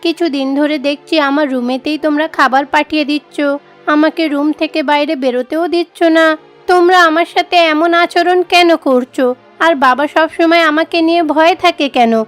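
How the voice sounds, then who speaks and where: A woman's voice: high voice, steady tone, a little fast, soft background music, close to an everyday voice. A woman playing a confined young daughter in a cartoon story, plaintive and questioning. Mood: upset, questioning.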